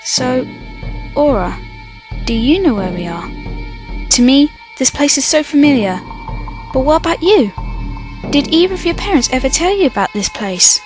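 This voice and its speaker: Woman acting out a young adventuress, high voice, very lively intonation, level-headed, earnest, bossy peacemaker, amateur fantasy radio play. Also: normal speed, pauses often, over music. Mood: curious, thoughtful.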